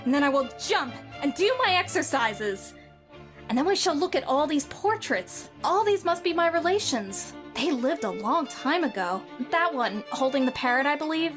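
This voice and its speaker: A woman's voice: high-pitched, fairly bright tone. A woman voicing a young boy recovering from illness, earnest and then overjoyed, in an amateur audio drama. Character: sickly boy recovering, eager and wondering.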